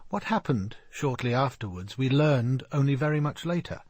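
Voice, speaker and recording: An actor playing a British storyteller who recounts a detective case in a measured way for a radio drama. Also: male voice, mid-range voice, animated, darkish tone, no room sound, natural voice.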